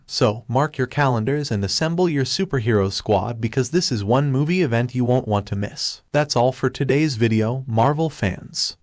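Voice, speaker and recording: A man reading a scripted promotional narration in the manner of an excited pitch. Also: dry recording, studio quality. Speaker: video narrator.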